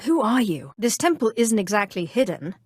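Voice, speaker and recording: Haughty Sith sorceress, cunning, composed, deliberate delivery, mid-pitched, dry close sound, video game dialogue. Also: female voice, big pitch swings, darkish tone, breathy edge, dry recording. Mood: probing, stern. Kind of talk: scripted role-playing game dialogue.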